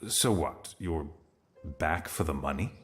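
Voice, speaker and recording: An actor playing a stern, weary military commander in a video game, speaking in a formal, commanding, clipped way. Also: male voice, rumbling low, expressive, measured pace. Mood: suspicious, dry. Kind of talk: scripted video game acting. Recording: game music behind the voice. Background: music.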